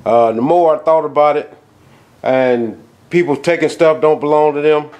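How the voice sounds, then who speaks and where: A man with a cold and a nasal voice, filming a folksy, rambling walkthrough of a dark house basement on a handheld camera, with lots of fillers and restarts. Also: a little high, moderate pace, clear, resonant tone. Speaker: outdoors video host. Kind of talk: casual vlog walkthrough.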